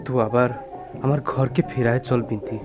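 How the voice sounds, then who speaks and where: A man's voice: mid-range voice, fairly even intonation, a little fast, close to an everyday voice. A man acting a tender, pleading rustic hill lover with a regional Bengali dialect in a radio drama. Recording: dull lo-fi recording with music behind.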